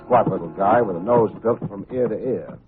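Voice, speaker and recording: An actor playing a coolly unflappable, wisecracking private investigator in an old radio detective drama, with dry, clipped noir phrasing. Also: male voice, on the low side, normal speed, over music, close to an everyday voice. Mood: wry narrating. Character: wry, hard-boiled male private investigator, coolly unflappable. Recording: muffled phone-like vintage radio recording.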